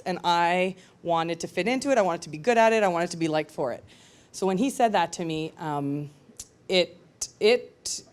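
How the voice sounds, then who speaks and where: A woman's voice: on the low side, darkish tone. A woman telling a personal story to an audience in a candid, conversational, self-reflective way. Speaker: speaker at a live storytelling show.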